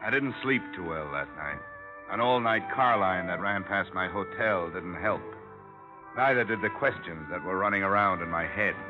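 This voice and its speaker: A man's voice: low-pitched, normal speed, lightly acted. A man playing a wry, world-weary private investigator in a 1950s radio detective drama, with a dry, clipped delivery. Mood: reflective, wry. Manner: dry, clipped, hard-boiled. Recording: muffled old radio recording, music behind.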